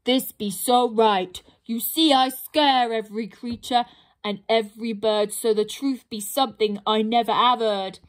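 A woman voicing a huge, greedy, boastful male troll who speaks slowly in broken grammar. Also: fairly even intonation, lightly acted. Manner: slow, rumbling, ungrammatical speech.

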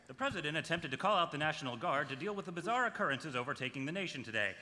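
A man's voice: a little high, animated, racing, sharp tone. A man playing an earnest American television newscaster at a live table read. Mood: serious. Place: panel table in large convention hall. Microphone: table microphone. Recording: echoey convention hall.

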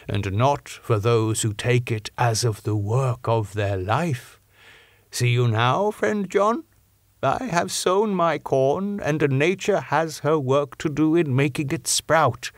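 A man voicing a grave, kindly old doctor in an audiobook, speaking slowly in quaint broken grammar. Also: medium pitch, expressive, no room sound, studio quality. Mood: teacherly, gentle. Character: old Dutch doctor, grave, kindly, teacherly, parable-loving.

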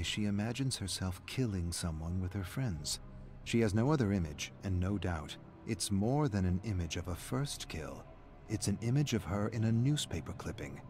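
Low-voiced man narrating a dark story. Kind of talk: scripted story narration, reading aloud.

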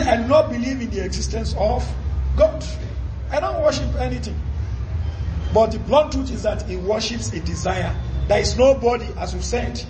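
A man's voice: extremely high pitch, reverberant, poor audio. A man in his forties preaching a Friday sermon in a study lined with books. He is emphatic and asks rhetorical questions, then answers them himself. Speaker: Islamic preacher.

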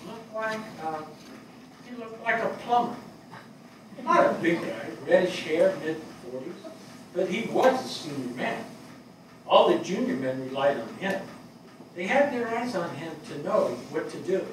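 An older man playing a grieving, plain-spoken fire captain in a staged play reading, halting and weary. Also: sits high, expressive. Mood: fond, reminiscing. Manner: halting, hesitant delivery. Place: small theatre stage before a seated audience. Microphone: distant camera microphone. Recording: very echoey hall, far microphone, noisy.

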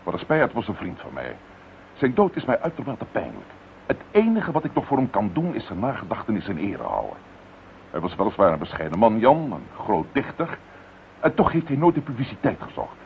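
Pompous, insinuating professor, radio play: very animated intonation, narrow tinny recording. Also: male voice, on the low side, brisk. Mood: solemn, delicate. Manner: pompous, circumlocutory. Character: genteel, indignant male professor of medicine, insinuating. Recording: thin band-limited radio drama recording, slight noise.

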